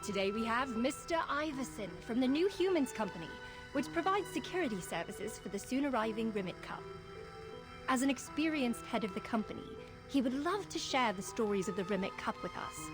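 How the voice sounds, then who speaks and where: An actress playing a polished, formal news presenter in a video game. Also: female voice, a little high, animated, brisk, music in the background, natural voice. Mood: formal, presentational. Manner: formal, announcer-like.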